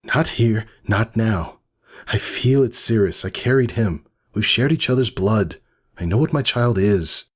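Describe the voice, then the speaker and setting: A man's voice: fairly low pitch, measured pace, breathy edge, pitched above the performer's own voice. A man voicing a determined, defiant expectant pegasus mother, resolute and intense, in a dramatic story reading. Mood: urgent, resolute. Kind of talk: dramatic fan fiction reading.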